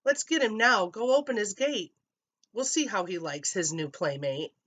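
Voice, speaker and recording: A woman voicing an eager, excited small boy in a storybook, calling out loudly. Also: mid-range voice, moderate pace. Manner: shouting, eager. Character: excited small boys delighted by a new pet.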